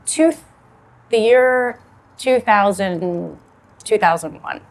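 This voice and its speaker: A woman in her forties from the United States, a novelist thinking aloud in a relaxed, reflective way and filling her talk with "like" and "you know". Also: medium pitch, slow pace. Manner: reflective, loose with many likes and you knows. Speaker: novelist in a panel conversation. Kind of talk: casual conversation.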